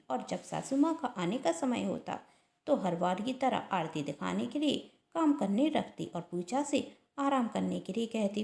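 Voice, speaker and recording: A woman's voice: mid-range voice, vocal fry, a little mellow. A woman narrating a scripted moral family story. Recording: roomy echo.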